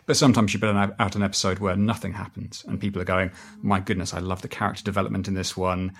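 A man's voice: sits low, level tone, fast. A man being interviewed as a podcast writer, talking conversationally and acting out little quoted reactions as he explains.

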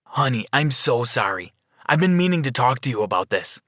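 A man's voice: medium pitch, big pitch swings, normal speed, fairly bright tone, dry recording, studio quality. A man playing an emotional, melodramatic middle-aged father in a narrated story. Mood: apologetic.

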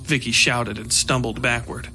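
A man's voice: medium pitch, level tone, normal speed, sharp tone, a little hiss or hum. A man reading scripted horror fiction as the narrator of an audio story.

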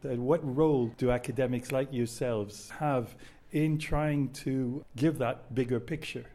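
A male interviewer asking academics measured questions, with some hesitations. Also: medium pitch, steady tone, warm tone.

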